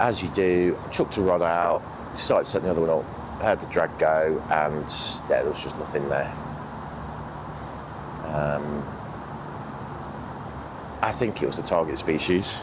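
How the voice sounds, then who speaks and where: Croaky, deep-voiced British man with a cold. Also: background noise. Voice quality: croaky, sniffly. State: has a cold. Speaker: fishing vlogger. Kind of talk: casual outdoor vlog talk to camera. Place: outdoors on a lake bank.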